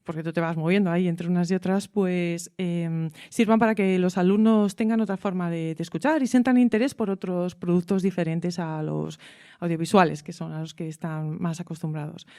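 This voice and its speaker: A woman's voice: on the low side, steady tone, a little fast, mellow, no echo, studio quality. A woman with a Spanish accent from Spain, speaking casually as an interviewer. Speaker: interviewer, teacher.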